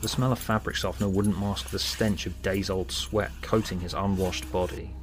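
A man's voice: deep voice, fairly even intonation, moderate pace, slight background noise. A man reading scripted story narration as an audiobook narrator. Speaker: author and narrator.

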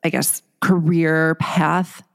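A woman's voice: deep voice, flat delivery, takes it slowly, creaky. A young woman co-hosting a casual chat podcast, rambling with many fillers and restarts. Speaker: podcast co-host. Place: Los Angeles.

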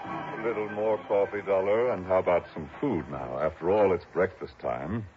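Deep, quietly determined radio drama fugitive, a man acting. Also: normal speed, music in the background, natural voice. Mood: calm, friendly. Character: runaway husband, quiet, calm, courteous, quietly determined man. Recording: muffled old radio broadcast, faint noise.

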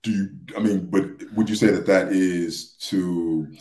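Deep-voiced man, halting, on a podcast. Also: steady tone, darkish tone, reverberant. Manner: hesitant, halting, repeats phrases as he explains. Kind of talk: casual podcast discussion, explaining.

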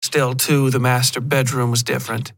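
A man reading a scripted horror story as a podcast narrator, in a measured, literary delivery with deliberate pauses. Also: medium pitch, level tone, creaky.

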